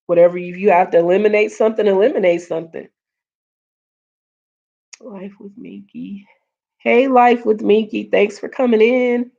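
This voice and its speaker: A woman hosting a casual live stream, earnest and repeating her points, greeting viewers, her voice getting hoarse. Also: mid-range voice, dark tone. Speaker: livestream host. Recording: dull lo-fi stream audio.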